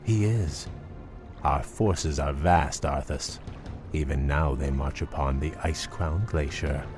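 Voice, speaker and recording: Elf prince: very deep, unhurried, proud and taunting, fantasy video game delivery over music. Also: male voice. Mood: smug, vengeful. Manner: measured, taunting. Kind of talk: video game cutscene acting.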